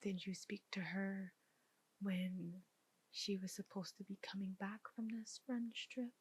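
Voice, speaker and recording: A woman performing a soft-spoken ASMR roleplay as a detective, with measured, deliberate questioning. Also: medium pitch, steady tone, breathy edge.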